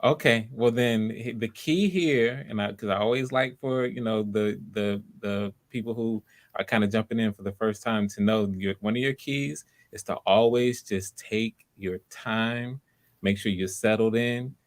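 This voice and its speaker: A man's voice: lowish voice, measured pace. A man hosting online auditions, casually coaching people in an encouraging, reassuring way. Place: live online video call.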